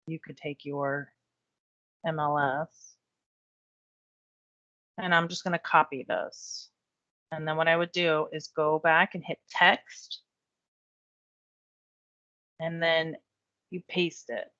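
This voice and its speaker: A woman giving a chatty step-by-step software tutorial while losing her voice. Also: low-pitched, flat delivery, slow, long pauses, no room sound, dull audio. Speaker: real estate software trainer. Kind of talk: screen-share software tutorial.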